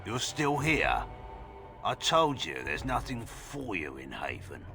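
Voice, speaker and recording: A man's voice: on the low side, big pitch swings, takes it slowly, over music, heavily acted. A man playing a suspicious, unwelcoming village guard in a video game, curt and formal. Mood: dismissive.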